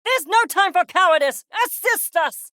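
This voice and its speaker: Pompous, bright-voiced mad scientist creature. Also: mid-range voice, sing-song, normal speed, no echo, clean studio sound. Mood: agitated. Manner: pompous, clinical, wordy, precise diction. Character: arrogant, obsessed mad-scientist furry creature, pompous and impatient. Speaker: video game character voice. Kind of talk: theatrical game character acting.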